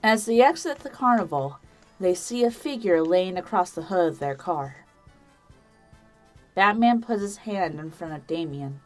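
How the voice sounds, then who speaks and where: A woman's voice: fairly low pitch, animated, a little slow. A woman reading scripted third-person narration for an audio drama. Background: background music.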